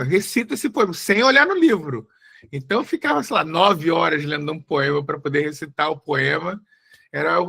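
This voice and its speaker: Man in his forties from Brazil, slightly high voice, bright tense tone, chatty with many fillers, video call audio. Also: gravelly. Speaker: researcher and guest speaker. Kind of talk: casual live talk before a slide presentation.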